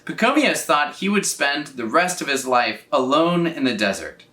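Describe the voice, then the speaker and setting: A man reading a children's story aloud in an expressive, scripted style, with dramatic phrasing and drawn-out words. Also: on the high side. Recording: roomy echo, distant from mic.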